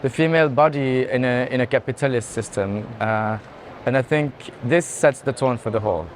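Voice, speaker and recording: A man giving unscripted art tour commentary in a halting, explanatory way. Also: medium pitch. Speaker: art gallery director in conversation. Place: indoor art fair hall. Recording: clear background noise of busy venue.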